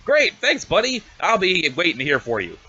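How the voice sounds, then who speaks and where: A man's voice: high voice, wildly swooping pitch, moderate pace, pushed and bright, muffled recording, slight background noise, full character voice. A man voicing a cocky, sarcastic young female alien schemer with snarky, bossy talk in an amateur comic reading. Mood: bossy, scheming. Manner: snarky, bossy, casual needling.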